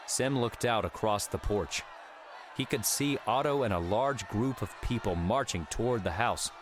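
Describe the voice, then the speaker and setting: A man reads story narration in a low voice. It is an audiobook delivery, with clearly audible outdoor ambience behind him. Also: animated, moderate pace, crowd noise, noisy background.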